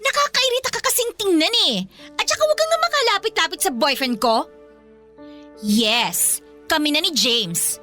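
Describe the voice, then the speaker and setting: A jealous, haughty young woman in a radio drama. Her voice is high and piercing, with animated swings of pitch as she taunts and belittles. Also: moderate pace, faint music behind, exaggerated voice. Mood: sneering, gloating. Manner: sneering, taunting. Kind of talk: Tagalog radio drama acting.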